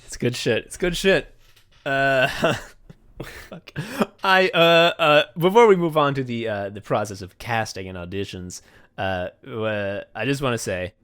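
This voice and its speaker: A man's voice: mid-range voice, expressive, a little slow, a bit bright, clear, resonant tone. A man hosting a podcast in casual chat, swearing freely and joking at his own expense. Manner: profane, joking and self-deprecating. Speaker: podcast host and comedy writer.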